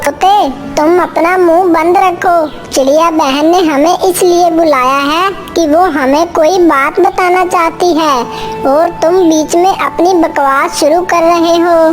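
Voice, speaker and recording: A woman plays a tiny female cartoon bird with an extremely high voice. She speaks slowly and simply, earnest and quick to take offence, as in a children's moral story. Faint music plays underneath. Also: steady tone. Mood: indignant. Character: small female bird, indignant but peace-loving storyteller.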